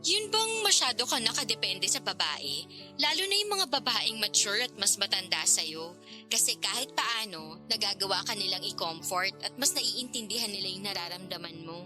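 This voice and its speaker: A woman's voice: mid-range voice, animated, normal speed, music in the background, natural voice. An actress playing a curious, teasing, frank older girlfriend figure in a radio drama, talking in a playful, probing, casual way.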